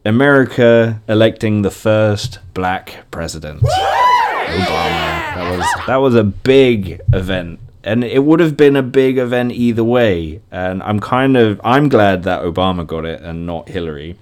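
British male podcast host, 30s, fairly low voice, chatty and rambling solo delivery. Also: huge pitch swings, a little slow. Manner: rambling, chatty, self-interrupting. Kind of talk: casual solo podcast chat.

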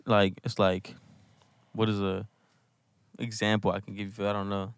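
A man's voice: on the low side, flat delivery, normal speed, clear, resonant tone, no room sound. A young man talking as a guest artist in a casual podcast chat, enthusiastic and insistent.

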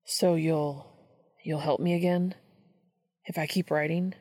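A woman voicing a vulnerable, hesitant young male college student in a storybook reading, halting and unsure. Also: low voice, fairly even intonation, a little mellow. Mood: vulnerable, hopeful.

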